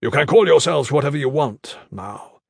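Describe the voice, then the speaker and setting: A man voicing a stern, curt commander of an elite guard in an audiobook story, with a gruff, gravelly voice. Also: a little high, animated, normal speed, dry recording, studio quality, full character voice. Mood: stern, solemn. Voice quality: gravelly, husky.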